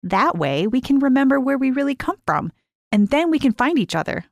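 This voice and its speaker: A woman's voice: high voice, big pitch swings, brisk, creaky, no echo, clean studio sound, voice pushed up, heavily acted. A woman voicing a bold, stubborn young girl in a story, insistent and impatient, scheming away. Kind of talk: acted dialogue in story narration.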